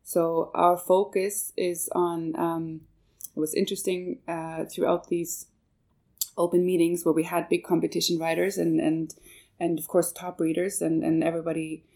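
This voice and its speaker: A woman's voice: fairly low pitch, little pitch movement, normal speed, vocal fry, darkish tone. A woman who grew up in Canada and whose first language is German, giving hesitant interview answers as a project manager, with many fillers and restarts. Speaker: guest, manager of a marketing project.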